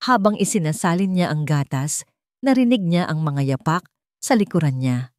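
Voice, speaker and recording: Female storyteller, slightly low voice, dry close recording, scripted sentimental romance narration. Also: lively intonation, normal speed, darkish tone, no room sound.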